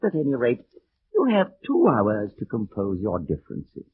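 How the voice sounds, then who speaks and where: An actor playing a sly, villainous old aristocratic uncle in a theatrical, mock-courteous style of old radio drama. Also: male voice, lowish voice, huge pitch swings, moderate pace. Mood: cold, imperious. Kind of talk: 1940s-1950s radio drama acting. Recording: muffled, hissy old radio recording.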